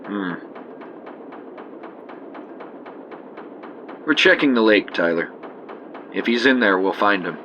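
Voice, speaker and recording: An actor playing a cool, measured government investigator in an audio drama. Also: male voice, lowish voice, expressive, highs cut off, slight background noise, close to an everyday voice. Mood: detached. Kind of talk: audio drama acting, formal report.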